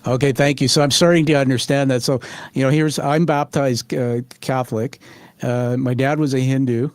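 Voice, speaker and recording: A man's voice: medium pitch, fairly even intonation, moderate pace, dry recording. Male podcast host, dry close sound. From born on a military base in the UK. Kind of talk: conversational interviewing.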